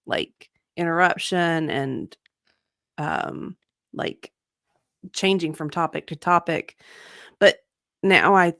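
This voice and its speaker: A woman with a slightly low voice, recorded dry and free of room sound. She chats casually as a co-host, laying out her opinions in a deliberate, conversational way. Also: dry recording. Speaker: co-host commentator.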